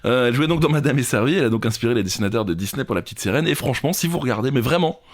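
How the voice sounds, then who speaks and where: A man's voice: mid-range voice, expressive, racing. A man, co-hosting a quiz podcast with casual, jokey banter. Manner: jokey, informal.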